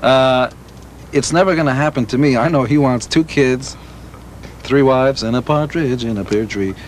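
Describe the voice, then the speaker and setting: A man in a middle register tells dry, wry stories during a relaxed interview. Clear background noise runs under his voice. His delivery is loose and joking. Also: mid-range voice, normal speed. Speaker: band member being interviewed.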